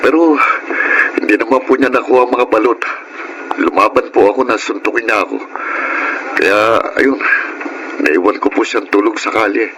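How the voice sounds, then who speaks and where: An actor playing an earnest, hardworking young son and brother in a Filipino radio drama, with a Filipino accent. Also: male voice, fairly low pitch, moderate pace, long pauses, noisy background, natural voice. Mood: respectful. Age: young adult. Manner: earnest, respectful.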